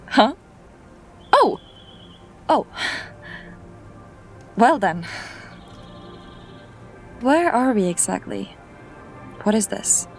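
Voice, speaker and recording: A wry young mage heroine, voiced by a woman in a fantasy audio drama. She speaks slowly and deliberately, her nerves showing through a dry, self-mocking humor. Soft music plays faintly behind her. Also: on the high side, big pitch swings, heavily acted. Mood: curious.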